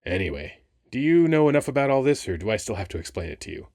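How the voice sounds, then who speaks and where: A man playing a flirty, wry, weary sphinx who guards a temple, talking casually and haltingly in an ASMR roleplay. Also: medium pitch, big pitch swings, a little mellow, no room sound, clean studio sound. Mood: wry, bored.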